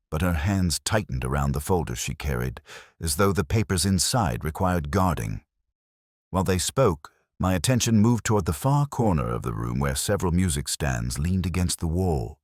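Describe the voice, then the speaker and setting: A man narrating a scripted first-person detective story in a measured, brooding, literary manner. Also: very deep voice, a little mellow, no echo, studio quality.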